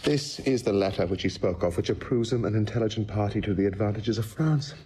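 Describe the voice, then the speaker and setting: An actor playing a treacherous young schemer feigning pious sorrow in a Shakespearean audio drama. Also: male voice, lowish voice, a little fast, warm tone, slight background noise, lightly acted. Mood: feigned anguish.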